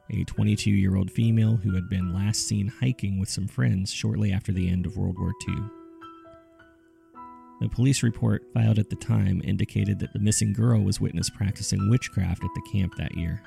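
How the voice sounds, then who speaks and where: Gravelly, low-voiced man narrating mystery case files. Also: warm tone. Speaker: podcast host and narrator.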